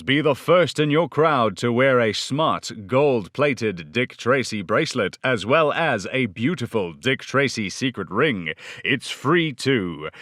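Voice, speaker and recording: A man's voice: medium pitch, fairly bright tone, no room sound, studio quality. Man as an emphatic old-time radio announcer. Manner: emphatic, salesman-like. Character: brisk, enthusiastic 1930s radio announcer and pitchman.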